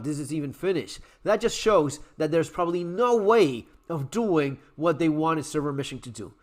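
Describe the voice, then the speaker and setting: A man giving a blunt, profane, exasperated rant about a video game while his voice is going hoarse. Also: high voice, fairly bright tone. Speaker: gaming commentary YouTuber. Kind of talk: ranting video commentary.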